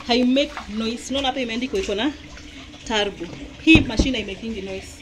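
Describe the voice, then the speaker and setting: A woman's voice: a little high, fast. A Kenyan woman filming a casual how-to on her phone in a small home laundry area, chatting and pointing things out. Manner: chatty, mixes Swahili and English, pointing things out. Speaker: vlogger. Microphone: handheld phone camera. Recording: noisy with running water.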